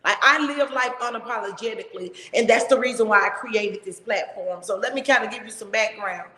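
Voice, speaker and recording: A woman with a big, loud, somewhat high voice hosts a casual talk show with emphatic, expressive delivery. Her sound rings around a roomy space with a long, trailing reverb. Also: brisk. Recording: echoey room with long reverb.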